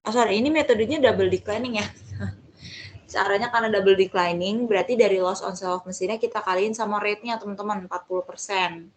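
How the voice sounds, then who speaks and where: A woman's voice: medium pitch, fast talker, a little hiss or hum, rough recording. A woman giving an informal step-by-step tutorial as a tutor, conversational and often correcting herself. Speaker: accounting tutor.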